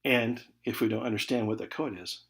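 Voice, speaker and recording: A man's voice: on the low side, fairly quick, creaky. American man teaching, conversational and emphatic. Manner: conversational, emphatic key words trailing off into soft check-ins like "okay". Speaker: college communication instructor. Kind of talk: informal recorded lecture for an online class.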